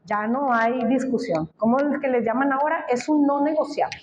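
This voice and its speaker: A woman's voice: high-pitched, moderate pace, reverberant, highs cut off, a little hiss or hum. A woman from Colombia preaching a sermon, speaking emphatically and asking the congregation questions. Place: church.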